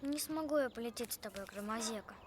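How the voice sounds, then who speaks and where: A woman voicing an earnest, curious schoolgirl with a taste for adventure in an animated film. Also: fairly high pitch, quick pace, a little hiss or hum, natural voice. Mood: reluctant.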